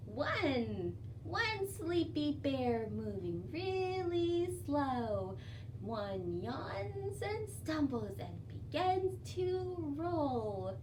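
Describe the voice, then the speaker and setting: High, animated woman leading children's storytime. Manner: sing-song, expressive, addressing young children. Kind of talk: children's storytime with songs, rhymes and read-aloud. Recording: distant mic, faint background noise.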